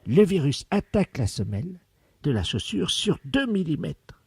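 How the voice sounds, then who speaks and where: A man playing a pompous scientist in a deadpan prank call, speaking solemnly and pedantically with deliberate articulation. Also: a little high, gravelly, breathy edge, dry recording, clean studio sound, full character voice. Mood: solemn, instructive. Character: pompous male scientist investigating a virus outbreak for radio. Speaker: prank caller. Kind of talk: deadpan prank phone call with hushed radio commentary.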